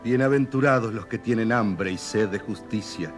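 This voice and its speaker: Actor as a solemn, distant scripture voice. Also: male voice, fairly low pitch, normal speed, faint music behind, natural voice. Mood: solemn, reverent. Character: solemn male voice reciting scripture. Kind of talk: dramatised scripture recitation.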